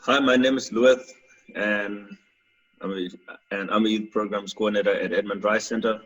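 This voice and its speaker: Male youth worker from South Sudan; husky, low, hesitant delivery; dull recording. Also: fairly even intonation, moderate pace, fairly bright tone. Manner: hesitant, many fillers and restarts. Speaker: youth programs coordinator. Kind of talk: panel discussion.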